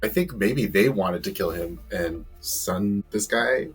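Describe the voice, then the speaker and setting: A man's voice: on the low side, slow, breathy edge, soft background music. A man playing a tabletop game, speculating hesitantly. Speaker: player.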